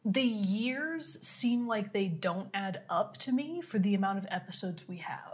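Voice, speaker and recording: A woman's voice: medium pitch, lively intonation, a little slow. A woman co-hosting a podcast live stream with casual, playful, teasing banter. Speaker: podcast co-host.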